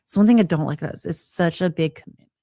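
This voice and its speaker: Casual co-host, woman, low-pitched, dry sound, hesitant chatty delivery. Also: very fast, warm tone, dry recording. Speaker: podcast co-host. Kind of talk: casual chat.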